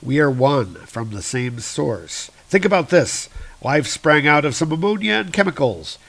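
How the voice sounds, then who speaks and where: A man's voice: on the high side, big pitch swings, measured pace, gravelly, a bit bright, full character voice. A man voicing a noble thunder god warrior from a comic book, with grave and archaic phrasing. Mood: reflective.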